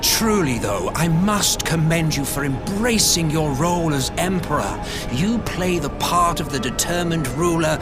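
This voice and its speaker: An ancient, smug game villain who speaks slowly and with sweeping, theatrical swings of pitch. He is sardonic and grandiloquent, and music plays clearly behind his voice. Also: lively intonation. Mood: mocking. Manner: languid, sardonic, drawn-out theatrical delivery. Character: ancient immortal schemer, smug, mocking, theatrical former emperor. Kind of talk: video game voice acting, grandiloquent villain dialogue.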